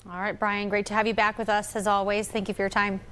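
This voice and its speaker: A woman's voice: medium pitch, flat delivery, fast, fairly bright tone. A woman with an American accent, speaking as a news anchor in a broadcast news interview style.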